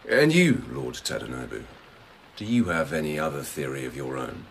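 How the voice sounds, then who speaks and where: An actor playing a shrewd, plain-spoken lieutenant in a radio drama, questioning in a direct, probing manner. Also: male voice, extremely low pitch, very animated, moderate pace, gravelly, a little hiss or hum, full character voice. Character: shrewd, plain-spoken young-ish male lieutenant investigator.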